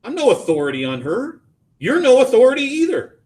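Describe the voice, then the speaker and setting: A man voicing an anguished, weary middle-aged father in audiobook dialogue, speaking haltingly and with emphasis. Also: on the high side, lively intonation, pitched above the performer's own voice, natural voice. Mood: angry, despairing.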